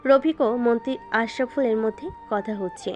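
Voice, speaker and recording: A woman's voice: on the high side, normal speed, a little mellow, faint music behind. A woman narrator reading a scripted dramatic story.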